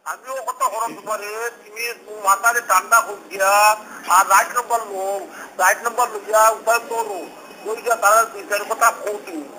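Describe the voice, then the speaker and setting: A man's voice: sits high, fairly even intonation, fairly quick, pushed and bright. A man with a Sylheti accent on an informal phone call, speaking in an exasperated, repetitive way. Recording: phone call with background noise.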